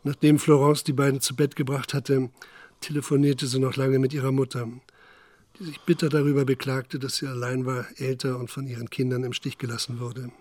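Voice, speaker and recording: An actor playing a sober narrator who recounts a crime in a factual, composed way in a radio drama. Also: male voice, mid-range voice, little pitch movement, brisk, darkish tone, no echo, close to an everyday voice.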